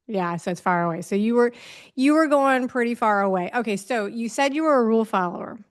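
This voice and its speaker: A woman's voice: a little high, animated, brisk. A woman hosting an interview in a conversational style, chatty and lightly amused, slipping in explanatory asides. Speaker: interview host.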